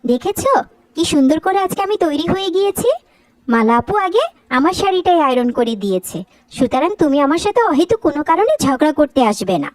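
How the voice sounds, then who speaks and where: A woman's voice: sits high, very animated, fairly quick, darkish tone, dry recording. A woman voicing several characters in a dubbed cartoon, delivering scolding, quarrelsome lines.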